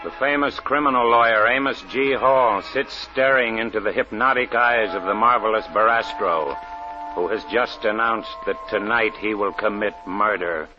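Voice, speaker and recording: A man's voice: lowish voice, normal speed. Muffled old-time radio announcer, a man. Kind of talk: 1940s dramatic radio narration. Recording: muffled 1940s radio recording. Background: music.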